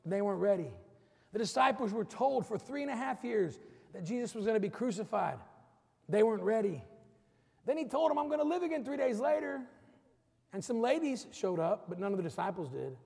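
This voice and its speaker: Gravelly-voiced man preaching in a reverberant church. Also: high-pitched, a little mellow. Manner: preaching with rising emphasis, urging the congregation. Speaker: pastor. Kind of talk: sermon. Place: church sanctuary.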